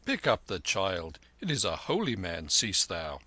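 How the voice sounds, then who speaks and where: A tired peasant husband who grunts back at his wife, voiced by a male reader. Gruff and unhurried, in the reader's own register, recorded dry and close. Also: low-pitched, big pitch swings, pushed and bright, no echo, exaggerated voice. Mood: weary. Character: blue-turbaned peasant husband, weary and grumbling.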